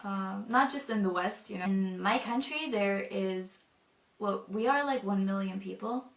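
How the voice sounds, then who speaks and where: A woman's voice: medium pitch, unhurried, vocal fry. Hesitant young woman, roomy distant sound. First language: not English. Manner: thoughtful, hesitant, many fillers. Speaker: convert telling her story. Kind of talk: personal testimony.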